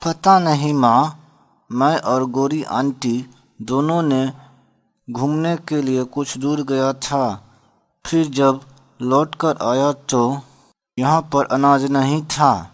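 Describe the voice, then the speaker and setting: A man's voice: mid-range voice, steady tone, takes it slowly, pauses often, lightly acted. A man voicing an obedient, trusting young bird son in a children's cartoon, speaking simply and politely.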